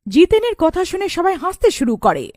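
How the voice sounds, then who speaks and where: A woman's voice: very high voice, huge pitch swings, normal speed, darkish tone, dry recording, clean studio sound. A woman narrating a scripted cartoon story as its storyteller.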